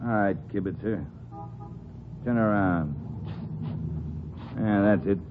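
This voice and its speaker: An actor playing a menacing, sarcastic American street thug who barks orders in rough slang in a 1950s radio crime drama. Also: male voice, fairly low pitch, slow. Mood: bossy, threatening. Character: menacing Skid Row thug giving orders, sneering, sarcastic. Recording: tinny 1950s radio recording with noise.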